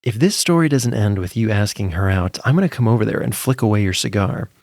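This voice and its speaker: A man's voice: lowish voice, animated, fast, a little mellow, no echo, studio quality. A man voicing a teasing, sarcastic uncle in a narrated story, with wisecracking delivery. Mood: teasing threat. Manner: wisecracking, needling.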